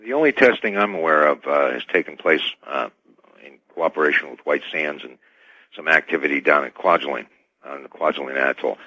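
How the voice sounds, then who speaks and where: An American man as a guest in a live radio debate, speaking in a measured, flatly corrective way. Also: extremely low pitch, breathy edge, dry recording. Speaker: talk show guest. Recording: dull, lo-fi dry radio feed.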